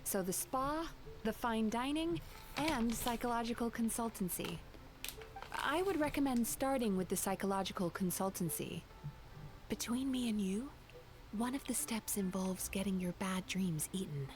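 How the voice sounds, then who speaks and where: A woman's voice: on the high side, animated, moderate pace, close to an everyday voice. A woman voicing a composed, gracious young spirit innkeeper in a video game, polite and poised.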